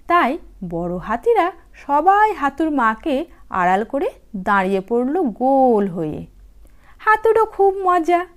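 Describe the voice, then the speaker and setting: A woman narrates a children's story in a high voice. She takes her time, phrasing dramatically and expressively, with lively swings in pitch as she reads. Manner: animated, unhurried, dramatic phrasing. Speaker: storyteller, audiobook reader.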